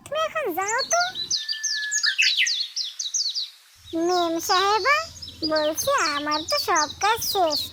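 Long-suffering poor mother and servant, female actor, squeaky-high, humble cartoon voice, noisy birdsong backdrop. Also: sing-song, unhurried, heavily acted. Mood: flustered. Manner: plaintive, deferential. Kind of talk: cartoon dialogue. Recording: noisy track, chirping birds behind.

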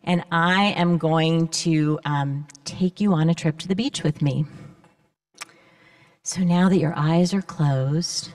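An older woman leading worship in a church, guiding all ages gently through imagery in an unhurried way. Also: deep voice, steady tone. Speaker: worship leader. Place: large church sanctuary. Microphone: handheld microphone.

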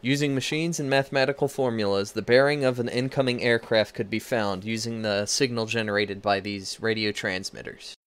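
A man's voice: on the low side, steady tone, fairly quick, few pauses, fairly bright tone, smooth. A young man reading a scripted lecture as a student presenter. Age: young adult.

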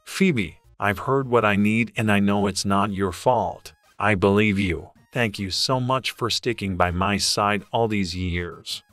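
A man's voice: on the low side, measured pace, few pauses, fairly bright tone, no echo. A man playing a sad, loyal, protective young best friend in a dramatised online story. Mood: tender.

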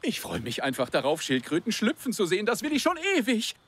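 An actor playing an enthusiastic, affectionate cartoon dad, earnest and explanatory, in a dubbed children's show. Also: male voice, sits high, huge pitch swings, fast, a bit bright, full character voice. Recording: music behind the voice.

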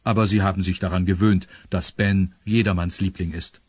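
A man's voice: sits low, steady tone, moderate pace, slightly rough, no room sound. A man narrating a children's audio drama from a script.

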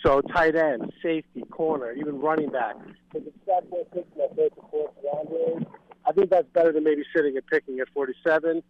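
A man's voice: fairly high pitch, brisk, slight background noise. A man calling in to a sports show with casual, explanatory opinions, over a call-in line. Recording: slightly dull call-in line.

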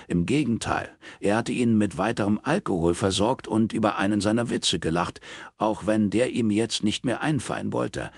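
A man's voice: fairly low pitch, dry recording, studio quality. A man reading a crime novel as scripted audiobook narration.

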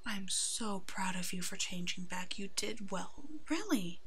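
A woman voicing a brave, protective werewolf in an ASMR roleplay, soothing and halting, with a soft, hushed delivery. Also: medium pitch, expressive, husky, heavily acted. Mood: tender, protective.

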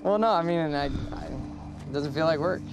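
A man's voice: on the high side, normal speed, crowd noise, natural voice. An actor playing a cocky young male security tech with a wry, sarcastic manner.

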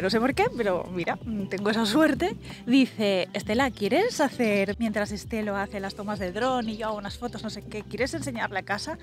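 A woman in her thirties, talking casually and rambling with many asides while showing a house to her selfie camera outdoors. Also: mid-range voice. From Spain. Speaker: vlogger and presenter. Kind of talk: casual vlog house tour. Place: outdoors in a country garden. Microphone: small camera mic held at arm's length.

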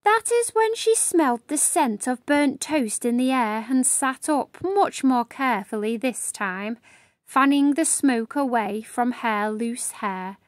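Animated high-voiced woman reading a storybook. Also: dry recording. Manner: animated, deliberate storytelling delivery. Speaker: children's storybook reader and host. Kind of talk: expressive read-aloud storytelling.

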